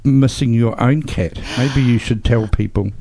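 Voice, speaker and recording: A man's voice: mid-range voice, measured pace, creaky, mellow, rough recording. Older New man telling anecdotes. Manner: chatty, anecdotal, chuckles through stories. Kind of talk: casual chat.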